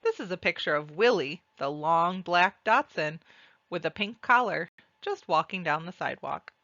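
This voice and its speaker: A woman reading a children's storybook aloud and describing its pictures, with deliberate, expressive phrasing. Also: fairly low pitch, no room sound, dull audio. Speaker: read-aloud narrator.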